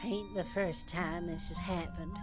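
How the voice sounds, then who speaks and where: A man's voice: sits high, steady tone, a little slow, over music, voice pushed up. A man voicing an ancient, brooding farm-pony grandmother with a folksy, reminiscing manner. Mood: grave, haunted. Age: older. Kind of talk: dramatised audiobook character voice.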